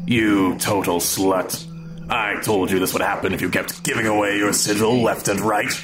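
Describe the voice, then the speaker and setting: Casting: actor as irritable, blunt demon who scolds, lower voice, audio fiction, music bed. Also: male voice, steady tone, moderate pace, lightly acted. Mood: irritated scolding. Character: grumpy, scolding male demon.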